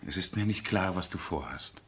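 An actor in a 1970s German radio drama playing a stubborn, lovesick aristocratic husband with formal, deliberate phrasing. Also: male voice, low-pitched, animated, gravelly, fairly bright tone, slightly rough, full character voice. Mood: evasive, defensive. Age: middle-aged.

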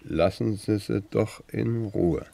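An actor in a radio play playing a gruff, blunt man with a laconic, sardonic manner. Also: fairly low pitch, takes it slowly, dark tone, slight background noise. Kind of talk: German radio play acting.

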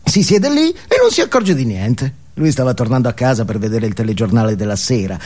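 An actor playing a deranged, filthy vagrant in a theatrical comic monologue, leering, rambling and taunting with gleeful menace. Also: male voice, medium pitch, very animated, brisk, a little mellow. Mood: mocking storytelling. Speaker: stage actor.

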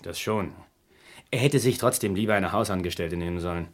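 A man's voice: low voice, a little fast, close to an everyday voice. An actor playing a disdainful, defensive young man, grandson of the victim, in a German radio crime drama. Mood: indignant. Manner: dismissive, testy.

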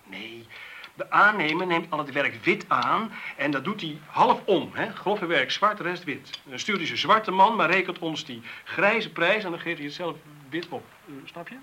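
A man's voice: on the high side, lively intonation, normal speed, bright, forceful tone, a little hiss or hum. An actor with a Netherlands Dutch accent playing a teasing, sarcastic man at home in a radio drama, dry and flippant.

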